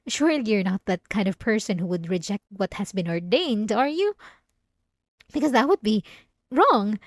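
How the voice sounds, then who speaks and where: A woman performs a devout young church girl who is sweet on the surface and possessive underneath. She speaks softly and haltingly at a middling pitch, with unsettling politeness. The sound is dry and intimate, in the manner of an ASMR roleplay. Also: dry recording. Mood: insistent, unhinged. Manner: soft-spoken, halting, sugary politeness with an unsettling edge. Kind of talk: scripted ASMR roleplay monologue addressed to the listener.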